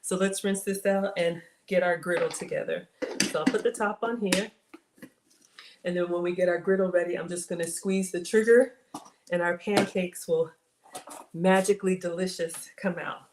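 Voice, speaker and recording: A middle-aged woman with a slightly raspy voice, chatting casually to viewers while she cooks in her home kitchen. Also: lowish voice, steady tone, normal speed. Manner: chatty, talks to viewers as y'all. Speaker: cooking livestream host. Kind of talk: casual live cooking chat with viewers. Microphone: fixed camera at counter. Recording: echoey kitchen with clear background noise.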